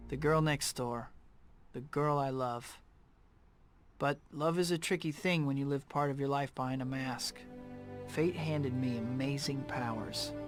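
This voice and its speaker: An actor playing an earnest, wry young superhero student with an American accent in a video game. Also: male voice, mid-range voice, close to an everyday voice. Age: young adult. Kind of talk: cinematic voice-over narration and dialogue. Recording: loud music underneath.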